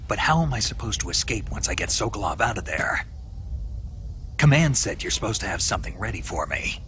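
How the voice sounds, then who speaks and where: A man with an American accent voicing a gruff, stoic special-forces soldier in a video game, gravelly, terse and guarded. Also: fairly low pitch, very animated, a little fast, sharp tone, slight background noise. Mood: businesslike. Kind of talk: video game cutscene voice acting. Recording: music behind the voice.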